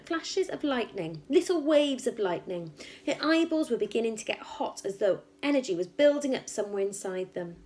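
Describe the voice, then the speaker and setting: Woman storyteller with lively, dramatic delivery. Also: mid-range voice, slight background noise. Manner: dramatic, emphatic, suspenseful. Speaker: teacher reading a story aloud. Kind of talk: expressive children's storybook narration.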